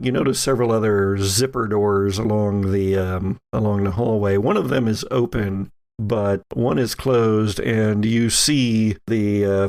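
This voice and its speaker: A man narrating a tabletop role-playing game as game master, haltingly searching for words as he describes scenes. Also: fairly low pitch.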